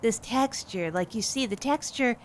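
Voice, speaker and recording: A woman's voice: on the high side, lively intonation, normal speed, slight background noise, close to an everyday voice. A woman playing an earnest, hapless amateur coffee maker, with a hesitant, rambling manner, in an improvised comedy audio drama. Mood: flustered, defensive. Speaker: podcast narrator.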